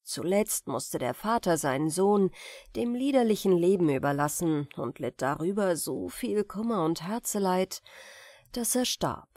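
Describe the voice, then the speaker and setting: A woman's voice: on the low side, lively intonation, a little slow, a little mellow, no room sound, clean studio sound. A woman narrating a fairy tale as a scripted bedtime audiobook.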